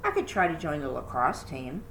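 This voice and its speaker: A woman's voice: sits low, sing-song, moderate pace, fairly bright tone, exaggerated voice. Woman voicing a sarcastic, hopeful schoolgirl, echoey room. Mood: hopeful, scheming. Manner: sarcastic, dramatic. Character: self-deprecating, stubbornly hopeful middle-school girl. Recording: roomy echo, background noise.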